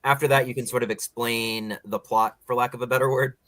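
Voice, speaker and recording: A man's voice: mid-range voice, fairly even intonation, brisk, fairly bright tone, dry recording. A man hosting a podcast, talking with guests in a casual, conversational interview style.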